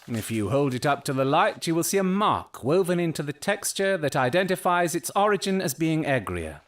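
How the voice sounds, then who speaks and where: An actor playing a brilliant, eccentric Victorian detective in an audio drama, speaking with precise, clipped self-assurance. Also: male voice, a little high, sing-song, normal speed. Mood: confidently deducing.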